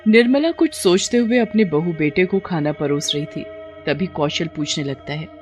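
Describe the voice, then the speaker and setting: A woman's voice: lowish voice, animated, moderate pace, a little mellow, soft background music. A woman reading a scripted story as its narrator.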